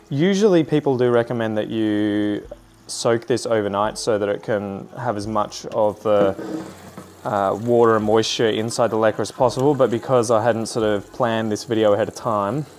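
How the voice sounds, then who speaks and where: A man's voice: on the low side, slight background noise. A man in his thirties with an Australian accent, chatting casually and thinking aloud as he does plant chores in his lounge room. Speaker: plant vlogger. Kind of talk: casual chat while doing chores. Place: lounge room full of houseplants.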